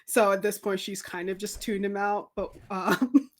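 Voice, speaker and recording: Woman gamer chatting casually, mid pitched voice, describing her character. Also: measured pace. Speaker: roleplay game player. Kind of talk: casual chat.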